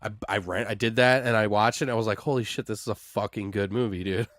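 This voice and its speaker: A man co-hosting a podcast, talking casually and excitably like a fan and swearing freely. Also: lowish voice, quick pace, dry recording. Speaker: podcast co-host. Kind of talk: casual movie chat.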